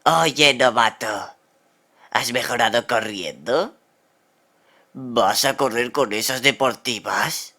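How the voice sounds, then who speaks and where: A man's voice: medium pitch, slow, long pauses, bright, forceful tone, exaggerated voice. A man voicing a cocky, nonchalant young runner who taunts, in a Castilian Spanish anime fandub. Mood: taunting, offhand. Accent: Castilian Spanish from Spain.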